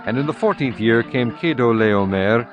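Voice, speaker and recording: A man reading scripture aloud as a narrator, slow, measured and solemn. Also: mid-range voice, lively intonation, muffled recording, over music.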